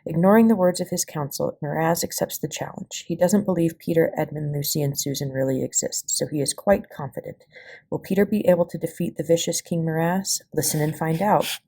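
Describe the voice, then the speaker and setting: Low, husky woman reading a story. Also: fairly quick, warm tone. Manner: dramatic, puts on voices for dialogue. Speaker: volunteer story reader. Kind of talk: expressive children's audiobook reading.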